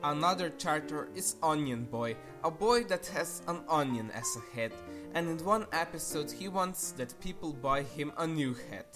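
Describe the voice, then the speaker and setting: A woman's voice: low-pitched, animated, moderate pace. A woman narrating an opinionated countdown review in a blunt, sarcastic and casually crude manner. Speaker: video reviewer. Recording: music behind the voice. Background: music.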